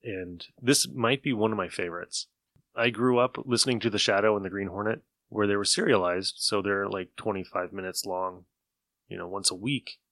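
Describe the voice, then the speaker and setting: A man's voice: lowish voice, moderate pace, fairly bright tone, dry recording. A man hosting a podcast, chatting casually as he introduces old radio shows. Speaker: podcast host.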